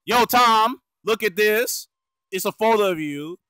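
Bright animated voice doing a sweary slangy cartoon fandub, close dry sound. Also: mid-range voice, unhurried, clean tone, dry recording. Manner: slangy, exclaiming, cursing. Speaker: amateur fandub voice performer. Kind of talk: profane comic cartoon fandub.

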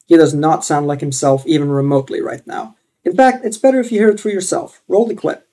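A man's voice: on the high side, steady tone, fairly quick, mellow. A young man on a headset mic, giving a playful, upbeat mock radio DJ announcement in his own voice. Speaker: streamer. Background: faint game music.

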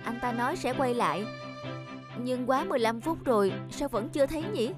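A woman voicing a gullible, soft-hearted young woman in a cartoon, meek and apologetic. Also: on the high side, lively intonation, fairly quick, over music, lightly acted. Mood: worried. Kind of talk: animated cartoon dubbing.

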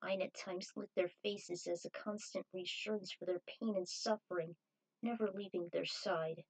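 A woman's voice: fairly low pitch, steady tone, moderate pace, low-quality recording. A woman reading fan fiction aloud as an amateur narrator. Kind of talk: amateur story narration with acted dialogue.

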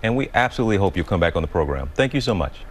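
A male news anchor with a very low voice asks questions from a television studio. He speaks conversationally, with a few halting pauses. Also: very animated, slightly rough. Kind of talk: news show interview questions.

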